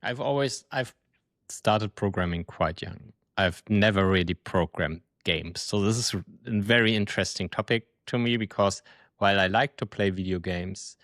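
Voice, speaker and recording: Low-voiced male podcast host, dry sound. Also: fairly bright tone, dry recording. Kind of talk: interview podcast.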